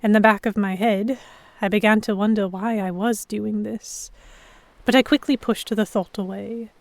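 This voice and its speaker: A woman narrating in first person as a composed captive in a historical pirate audio drama, with measured, dramatic storytelling. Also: mid-range voice, fairly even intonation, creaky, no echo, natural voice. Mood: measured, tense. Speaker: narrator and lead actor.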